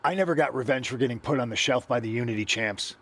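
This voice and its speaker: A blunt, vengeful wrestler. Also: male voice, mid-range voice, fast talker, a bit bright. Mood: determined. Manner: blunt, indignant, clipped replies. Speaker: wrestler seeking revenge. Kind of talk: video game cutscene dialogue.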